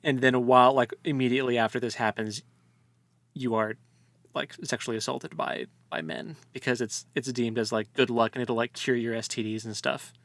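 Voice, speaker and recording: A man's voice: mid-range voice, fairly even intonation, moderate pace, fairly bright tone, no room sound. Male co-host, mid-range voice, informal conversational delivery, dry recording with no room sound. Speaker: podcast co-host. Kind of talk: casual book discussion chat.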